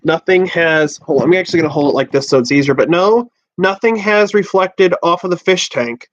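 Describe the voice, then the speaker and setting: A man in his twenties from the USA, hosting a livestream from a small room at home, exclaiming and swearing with halting restarts. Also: on the high side, animated. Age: 20s. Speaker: podcast host livestreaming. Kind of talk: excited casual livestream chat. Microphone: laptop and handheld phone. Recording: dull lo-fi stream audio.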